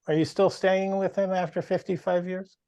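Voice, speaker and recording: A man's voice: high-pitched, little pitch movement, moderate pace, mellow, no room sound. A man, casually moderating a discussion as host.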